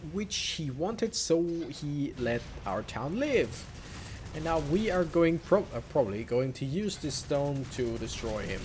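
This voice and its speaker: A man's voice: a little high, darkish tone, slight background noise. A man giving casual, rambling commentary as he plays through a video game, musing aloud. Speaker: video game let's player. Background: faint game music.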